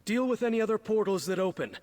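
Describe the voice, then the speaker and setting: An actor playing a grave, commanding military captain in a fantasy video game, with a gravelly voice. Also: male voice, high-pitched, animated, fast, darkish tone. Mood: grave, businesslike.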